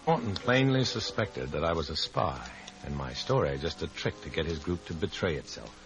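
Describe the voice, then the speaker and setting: An actor playing a wary undercover agent in an old-time radio spy drama, speaking in a terse, sardonic way. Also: male voice, low-pitched, expressive, moderate pace, music in the background, rough recording. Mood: grim narration. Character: wary, hard-boiled undercover agent posing as a comrade.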